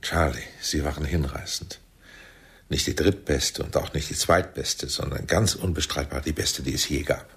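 An actor playing a paternal, persuasive intelligence chief in a radio play, theatrical, with a deep husky voice. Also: male voice, normal speed, fairly bright tone, full character voice. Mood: effusive.

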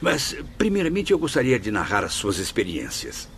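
Camilo, low, gravelly, humble Brazilian man. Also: wildly swooping pitch, normal speed, a bit bright, slight background noise, exaggerated voice. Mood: resolved. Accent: Brazilian Portuguese. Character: humble, eager male spirit writer, Camilo. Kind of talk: Brazilian radio drama acting.

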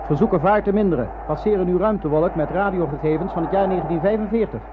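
An urgent spaceship radar operator, high and quick. His clipped reports come over a tinny intercom in a radio drama. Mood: urgent alert. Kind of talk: radio play acting. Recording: tinny, noisy intercom-like sound.

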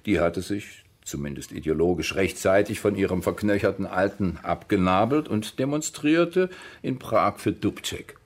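An actor playing a wry former soldier who recounts his past in colloquial German radio drama monologue, with a gravelly, husky voice. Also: low voice, lively intonation, normal speed.

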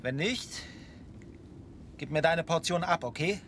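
A man's voice: fairly high pitch, measured pace, fairly bright tone, slight background noise. An actor playing a brash, hungry polar crewman, blunt and cheeky, in a radio play. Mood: teasing.

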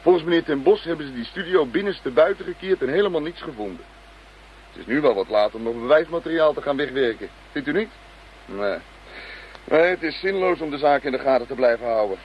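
A man's voice: fairly high pitch, animated, fairly quick, lightly acted. Bored grumbling policeman, played by an actor, muffled sound. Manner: grumbling, complaining. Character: bored, cold, grumbling policeman on guard duty. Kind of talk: radio play acting. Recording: muffled radio play, faint noise.

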